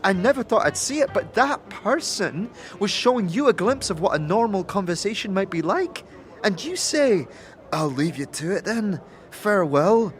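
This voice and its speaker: Man playing a high-pitched, exasperated nagging sidekick. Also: expressive, moderate pace, soft background music. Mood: exasperated, scolding. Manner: sarcastic, scolding. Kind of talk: audio drama acting.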